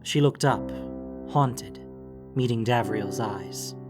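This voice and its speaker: A man's voice: medium pitch, takes it slowly, music in the background. A man narrating a fantasy story in a dramatic, scripted audiobook style.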